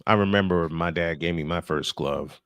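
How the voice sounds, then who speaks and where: A man's voice: low voice, normal speed, a bit bright, clean tone, no room sound, muffled recording. American man calling baseball, dry close sound. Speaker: baseball play-by-play announcer. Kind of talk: play-by-play commentary mixed with casual chat.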